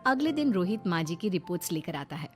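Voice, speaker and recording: A woman's voice: on the low side, big pitch swings, fast talker, breathy edge. A woman narrating a scripted cartoon moral story. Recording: music behind the voice.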